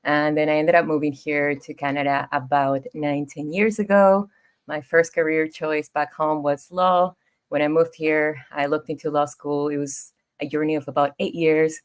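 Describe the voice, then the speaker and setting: A woman in her thirties from Ecuador with a Spanish accent, telling her story as a podcast guest in an expressive, easygoing way. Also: low-pitched, clean tone. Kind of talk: casual interview storytelling.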